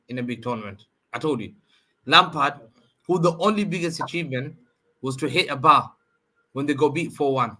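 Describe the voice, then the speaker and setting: A man with a bright, tense voice argues football on a livestream panel. He speaks emphatically and repeats short phrases to hammer home his points. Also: medium pitch. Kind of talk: heated football debate on a livestream.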